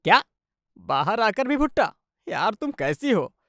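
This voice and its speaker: A woman plays a young husband in a cartoon tale. The pitch swings widely as he teases, grumbles and yields. The sound is dry. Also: very animated, unhurried, a bit bright, no echo. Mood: exasperated. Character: young husband, teasing but easily giving in. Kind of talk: animated Hindi moral-story dubbing.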